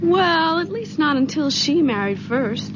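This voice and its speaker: Worried young female lead, very high animated voice, noisy vintage radio. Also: a little slow, muffled recording. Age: young adult. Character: anxious, cautious modern young woman. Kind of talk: old-time radio drama acting.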